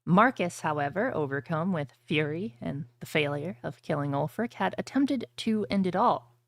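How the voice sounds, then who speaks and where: A woman's voice: lowish voice, lively intonation, normal speed, no room sound. A woman hosting a tabletop fantasy game as game master, moving between casual chat and scripted storytelling. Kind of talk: casual table talk and dramatic scripted fantasy recap narration.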